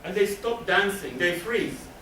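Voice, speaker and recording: Guy giving a talk, kinda high voice, explains stuff emphatically, far from the mic w/ noise behind. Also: animated, unhurried, bright, forceful tone. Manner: explanatory, asks and answers own questions. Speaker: counselor giving a presentation. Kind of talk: spoken presentation to a live audience. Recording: roomy, distant mic with noticeable background noise.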